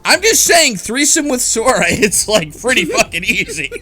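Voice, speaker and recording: A woman's voice: fairly high pitch, big pitch swings, moderate pace, bright, forceful tone. A woman chatting casually on a podcast, joking in a sardonic, sweary way. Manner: crude, sardonic, profane.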